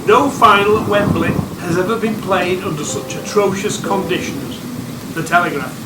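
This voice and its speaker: An actor playing a wry, bleakly obsessed rugby supporter in a radio play. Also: male voice, sits high, normal speed, very noisy, natural voice. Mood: declamatory. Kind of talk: dramatic radio play retelling. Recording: roomy, echoing sound.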